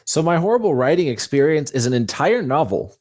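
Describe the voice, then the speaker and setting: A man's voice: on the high side, big pitch swings, fairly quick, darkish tone. A man speaking as an interview guest, telling his own story casually and candidly, acting out quoted thoughts as he explains. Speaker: guest author.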